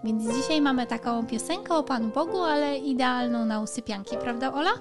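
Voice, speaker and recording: A woman's voice: high voice, fairly quick, over music, lightly acted. A woman voicing a chatty puppet mother who is fond of lullabies. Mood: chatty, eager.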